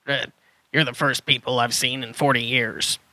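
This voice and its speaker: An eccentric old dinosaur hermit, acted out by a man, with a very bright, forceful edge over a creaky rasp. He is a flustered trader from a children's audio drama who jumbles his words. The recording is dry and close. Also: mid-range voice, steady tone, normal speed, dry recording, low-quality recording. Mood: quirky, chatty. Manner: muddles and swaps word order, then corrects himself.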